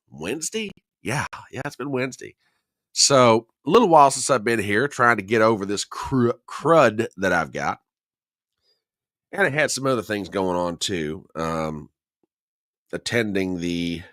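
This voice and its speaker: A middle-aged man hosting a casual livestream, chatty and jokey, a little hoarse and nasal from a cold. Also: deep voice, a bit bright, no room sound, studio quality. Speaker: host.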